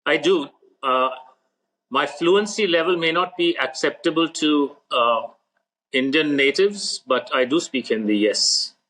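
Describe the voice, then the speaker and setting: A man answering as a podcast guest, measured and blunt, making short firm statements. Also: on the high side, pushed and bright, poor audio. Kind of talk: interview answers.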